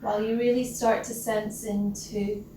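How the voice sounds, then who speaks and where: A woman leading a guided breathing meditation as a teacher, gentle and instructional, leaving long pauses between short phrases. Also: mid-range voice, little pitch movement. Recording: roomy, distant mic with loud background noise.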